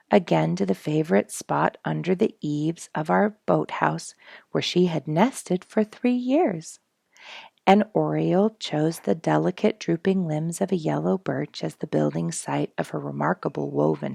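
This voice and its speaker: A woman storyteller reading a nature memoir aloud in an expressive style for a family audience, putting dramatic emphasis on words. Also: low voice, gravelly, no echo, clean studio sound.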